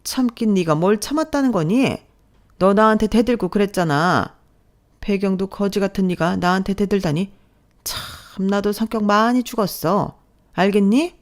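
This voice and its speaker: A woman voicing a domineering middle-aged mother-in-law who sneers and belittles in a sharp, high voice, as part of a told story. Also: moderate pace, close to an everyday voice. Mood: mocking. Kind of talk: storytelling with acted dialogue.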